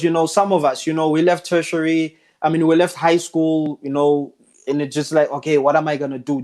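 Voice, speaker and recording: A man's voice: fairly high pitch, darkish tone. A man hosting an interview, asking questions in a casual, hesitant way with many fillers. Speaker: interview host. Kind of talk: conversational interviewing.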